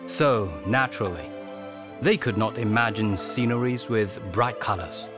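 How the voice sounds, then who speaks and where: An actor playing a gracious, regal Chinese emperor in a radio drama, speaking in a measured way. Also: male voice, on the low side, close to an everyday voice. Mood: regal, thoughtful. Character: stately, gracious Chinese emperor with grand ambitions. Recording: music behind the voice.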